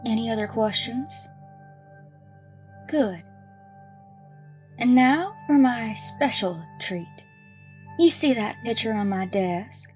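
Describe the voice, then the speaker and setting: An actress playing a pious, calmly menacing schoolteacher in a horror audio drama, preaching in a measured way. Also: female voice, a little high. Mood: coaxing, sinister. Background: music.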